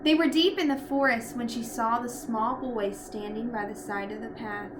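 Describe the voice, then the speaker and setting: Woman narrating a kids storybook, expressive, echoey room w noticeable background noise. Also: a little high, normal speed. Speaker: storybook reader. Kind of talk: expressive read-aloud narration.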